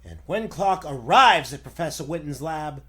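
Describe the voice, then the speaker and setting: A man's voice: a little high, animated, normal speed, fairly bright tone. A man narrating a story in the scripted style of a 1940s radio serial.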